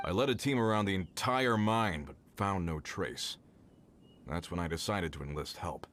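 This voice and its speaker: Low-voiced, proud mine foreman. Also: normal speed, a bit bright, a little hiss or hum. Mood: grave, resolute. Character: gruff, proud middle-aged male mine foreman. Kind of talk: video game voice acting.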